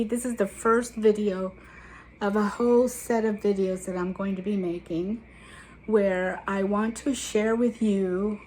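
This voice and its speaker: Older woman in her seventies, from guatemala, slow unhurried talk, chatty vlog storytelling, faint background ambience. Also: medium pitch, a little hiss or hum, low-quality recording. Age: 70s. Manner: chatty, digressive, warm asides to viewers. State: sweaty after a walk. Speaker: YouTube channel host. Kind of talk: casual personal storytelling to camera.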